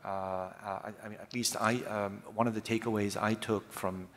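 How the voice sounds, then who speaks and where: A man chairing an academic session, giving halting impromptu remarks with many stammers and repeated words. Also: lowish voice, little pitch movement, a bit bright. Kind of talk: impromptu remarks after a lecture. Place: large hall, public event. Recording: reverberant lecture hall.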